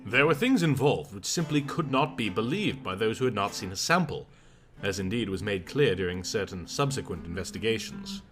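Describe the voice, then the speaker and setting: A man with a fairly low voice narrates a literary horror story in a scripted audiobook style. Plucked guitar music plays clearly behind his reading. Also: animated, normal speed, a bit bright.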